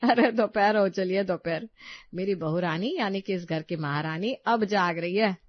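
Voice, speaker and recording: A woman voicing a bossy, sarcastic middle-aged mother-in-law in a family story, nagging theatrically and loudly. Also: mid-range voice, measured pace, no room sound, lo-fi sound, lightly acted. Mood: sarcastic, aggrieved.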